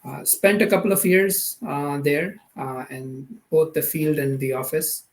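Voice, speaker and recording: A man's voice: fairly high pitch, steady tone, measured pace. A man in his thirties from Pakistan, with a Pakistani accent, answering as an interview guest in a casual, hesitant way and often circling back to rephrase. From Karachi, Pakistan. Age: 30s. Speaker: podcast guest, product manager.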